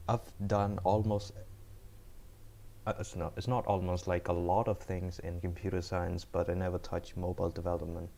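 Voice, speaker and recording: A man's voice: deep voice, gravelly, dark tone. A young man from Cambodia, a first-year student, chatting casually with his viewers while streaming, often repeating and restarting words. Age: young adult. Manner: rambling, repeats words, chats with chat. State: cold. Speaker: live coding streamer.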